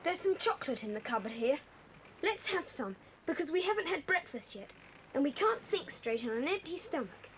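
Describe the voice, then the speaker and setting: Very high-pitched practical child adventurer. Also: female voice, sing-song, moderate pace, crowd noise, low-quality recording. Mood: practical, reassuring. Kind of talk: children's audio drama acting. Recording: slightly hissy 1980s cassette tape.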